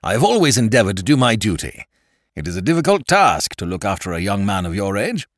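A stiff, anxious older gentleman, voiced by a man, speaks in measured, formal phrases. His voice sits slightly low and subdued, recorded close and dry. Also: big pitch swings, dry recording. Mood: pleading.

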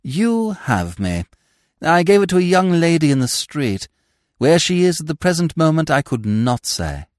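Man playing a suave, swooping, grandiloquent gentleman. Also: mid-range voice, wildly swooping pitch, normal speed, no echo, clean studio sound, pitched above the performer's own voice. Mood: serene, amused. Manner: grandiose, bland, florid phrasing. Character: suave, verbose gentleman, blandly cordial and unflappable. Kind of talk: audiobook character voice.

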